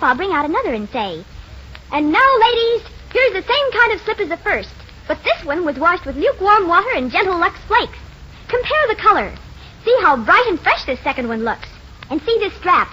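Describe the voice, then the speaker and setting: Female sponsor spokeswoman, American accent, very high voice, swooping intonation, scripted 1940s ad copy, muffled old recording. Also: sing-song, moderate pace, sharp tone, slight background noise. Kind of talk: scripted 1940s radio advertising demonstration.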